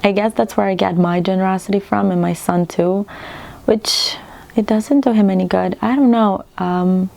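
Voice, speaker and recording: A woman in her thirties from Ukraine with a Ukrainian accent, chatting casually and reflectively to her vlog viewers, pausing mid-sentence. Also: mid-range voice, fairly even intonation, darkish tone. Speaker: needlework vlogger. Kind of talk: casual personal vlog chat. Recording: clearly audible background room noise.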